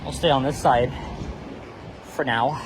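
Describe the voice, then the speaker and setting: A man's voice: mid-range voice, fairly even intonation, measured pace. Chatty man walking a city street. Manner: chatty, rambling, restarts phrases often. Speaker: walking tour vlogger. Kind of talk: casual walking commentary. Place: busy city street outdoors. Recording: street traffic noise behind.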